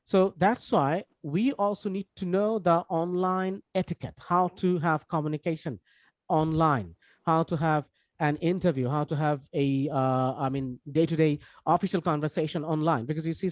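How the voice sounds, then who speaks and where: A Bangladeshi-accented man, a native Bengali speaker, gives an informal online lecture as an instructor. His voice sits a little high for a man. He explains in a halting, repetitive way full of small asides, and the sound is dry, without room echo. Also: fairly even intonation. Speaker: English course instructor.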